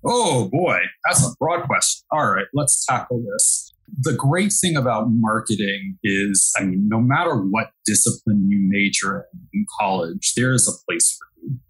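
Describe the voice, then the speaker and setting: A man's voice: on the low side, big pitch swings, normal speed, a bit bright, husky, poor audio. A man from Brooklyn, a guest talking casually about his marketing work, chatty and given to repeating words as he restarts. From Brooklyn, New York. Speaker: guest, digital marketing analyst. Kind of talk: casual interview talk.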